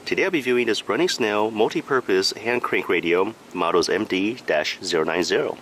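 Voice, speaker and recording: A man with a very low-pitched voice walks through a product review. His delivery is plain and matter-of-fact, and there is a faint noise in the background. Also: brisk, fairly bright tone. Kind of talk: step-by-step product review narration.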